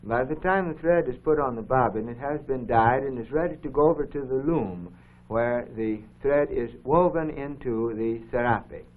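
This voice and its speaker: A man's voice: medium pitch, normal speed. Man explaining on a tinny old broadcast. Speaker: co-host of an educational program. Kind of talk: unscripted explanatory commentary over film footage. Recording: dull, phone-like 1950s broadcast recording.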